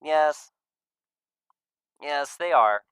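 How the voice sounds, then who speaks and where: A man voicing an upbeat, warm and sarcastic young male furry character in a visual novel, with animated delivery. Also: a little high, very slow, smooth, dry recording, full character voice. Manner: animated, exclamatory.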